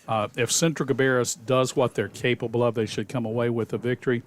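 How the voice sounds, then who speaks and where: A man doing color commentary on a high school football radio broadcast from a stadium press box, folksy and rambling with many fillers. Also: fairly even intonation, quick pace.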